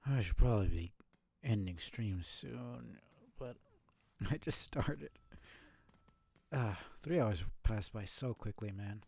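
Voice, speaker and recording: A man's voice: on the low side, slow pace, vocal fry, mellow, no echo. A young man casually talking to himself while streaming a game, mumbling thoughts as he plays. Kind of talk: casual live gameplay commentary.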